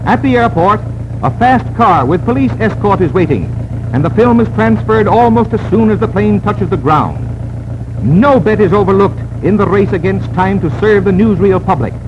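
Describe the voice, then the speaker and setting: A man's voice: high voice, lively intonation, moderate pace. A man with an American accent reading scripted 1930s newsreel narration in a dramatic, declamatory way. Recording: noisy, muffled 1930s newsreel soundtrack.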